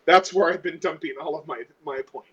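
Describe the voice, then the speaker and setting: Muffled lo-fi male gamer, slightly high voice, chatting casually as a player. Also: fairly quick, a bit bright, breathy edge. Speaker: tabletop game player. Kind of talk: casual table chat.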